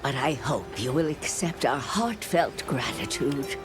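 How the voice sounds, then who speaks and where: An actress playing a gracious, wise village elder in a video game, speaking in a solemn, formal, measured way over music. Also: female voice, very deep voice, expressive. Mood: solemn, grateful. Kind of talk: video game cutscene acting. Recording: music behind.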